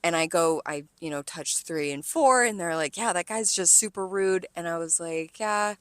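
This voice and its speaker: Low-voiced American woman telling stories, close dry sound. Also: normal speed, dry recording. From California, United States. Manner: animated, sweary, quotes conversations in acted voices. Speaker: podcast co-host, restaurant server. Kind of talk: casual storytelling chat.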